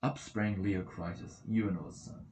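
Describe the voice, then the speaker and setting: A low-pitched man narrates an ancient epic from a script in a measured, storytelling way. The recording is roomy and echoing, like a home setup. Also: steady tone, darkish tone, a little hiss or hum. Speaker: volunteer reader of an epic.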